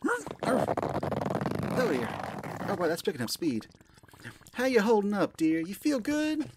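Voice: mid-range voice, huge pitch swings, slow, background noise, full character voice. An amateur actor voicing a flirty, motherly pink balloon elephant who serves at a soda bar, with a folksy, coaxing manner in an audio play. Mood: soothing, excited. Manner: folksy, coaxing, calls listener sweetie and dear. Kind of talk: amateur audio play, second-person roleplay monologue.

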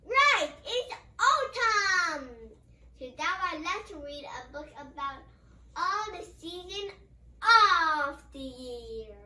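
A childlike reader going through a picture book haltingly, with playful exclamations and giggly commentary. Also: very high voice, sharp tone. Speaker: children's show host.

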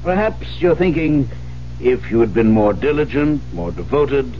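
A dull, slightly noisy vintage radio recording. A man acts a probing male psychiatrist, firm and skeptical, pressing each question deliberately with wide, expressive intonation. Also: mid-range voice, lightly acted. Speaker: actor in a radio drama. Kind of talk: 1970s radio drama acting. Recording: muffled, noisy 1970s radio broadcast.

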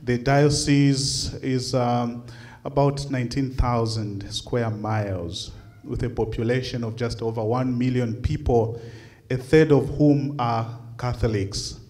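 A middle-aged man from Zambia speaks as a bishop addressing a conference, his delivery slow and deliberate. He fills gaps with uh and um, and his voice sits at an ordinary male pitch. He is heard through a podium microphone in a lecture hall. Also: fairly even intonation, a little mellow. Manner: measured, with frequent uh and um pauses. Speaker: bishop giving a conference talk.

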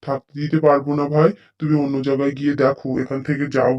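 A man's voice: medium pitch, little pitch movement, quick pace, darkish tone, muffled recording, natural voice. A man voicing a wary, blunt villager in a cartoon.

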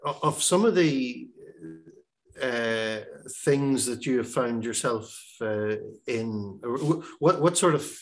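A middle-aged man hosting an interview over a video call, warmly hesitant with many pauses and restarts. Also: mid-range voice, animated. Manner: halting, many fillers and restarts, encouraging. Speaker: host. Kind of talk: interview host. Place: home room.

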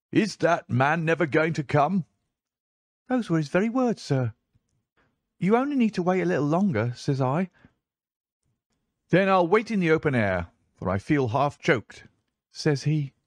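Man putting on a high-pitched eager young page boy. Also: no room sound. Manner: chatty, servile, working-class turns of phrase. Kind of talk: audiobook character voice.

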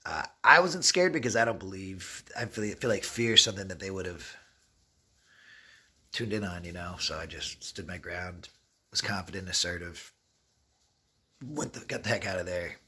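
Husky, low-voiced man telling street stories. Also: normal speed, pauses often. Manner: rambling, streetwise, animated retelling with quoted dialogue. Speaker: travel vlogger. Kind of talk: casual storytelling vlog, talking to camera. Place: inside an open derelict wooden shack. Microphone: phone or camera at arm's length.

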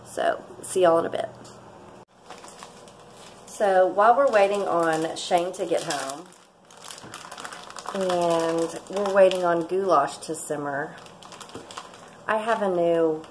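A woman's voice: fairly low pitch, big pitch swings, takes it slowly, pauses often, poor audio. Lady in her 40s chatting away in her kitchen, rambly, laughs a lot, close-up camera, bit of background hum. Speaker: vlogger. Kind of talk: casual cooking vlog chat. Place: home kitchen, indoors. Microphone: fixed camera at close range.

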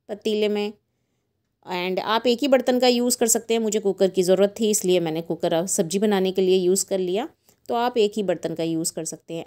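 Middle-aged Indian woman, recipe host, soft dark tone at a medium pitch, chatty voice-over. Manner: chatty, mixes English words into Hindi. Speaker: cooking channel host.

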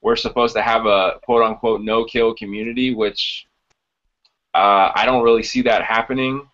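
A man's voice: fairly low pitch, steady tone, moderate pace, fairly bright tone. A man in his thirties co-hosting a casual podcast from home, explaining things informally over a video call. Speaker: podcast co-host. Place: small room at home. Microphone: video call on computer. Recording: dull, lo-fi video call audio.

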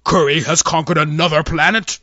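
A man's voice: a little high, fairly even intonation, a little fast, sharp tone, dry recording. Man as alien fleet admiral, furious, growling, bombastic, sci-fi audio story, dry muffled recording. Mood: furious, commanding. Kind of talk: theatrical science fiction audio story.

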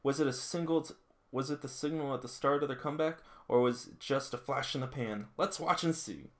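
A man voicing an excited volleyball match announcer from a manga, with animated, emphatic play-by-play. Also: mid-range voice, fairly quick, pitched above the performer's own voice, lightly acted. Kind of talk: sports commentary read from a manga.

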